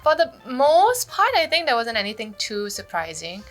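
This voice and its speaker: A woman's voice: fairly high pitch, sing-song, normal speed, fairly bright tone, soft background music. A Singaporean woman with a Singaporean English accent, a guest speaking thoughtfully in a casual panel chat.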